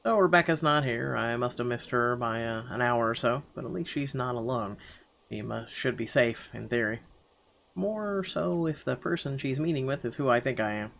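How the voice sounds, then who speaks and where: A man's voice: mid-range voice, normal speed, vocal fry, a bit bright. A man reads first-person story text from a game aloud. His voice sits in a medium register, with a dramatic storytelling delivery that halts and stumbles at times. Faint noise runs behind him.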